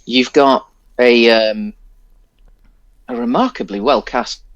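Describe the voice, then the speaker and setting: A man's voice: mid-range voice, slow pace, fairly bright tone, no echo, dull audio. A man co-hosting a podcast, casually discussing a film in a hesitant, rambling way. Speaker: podcast co-host.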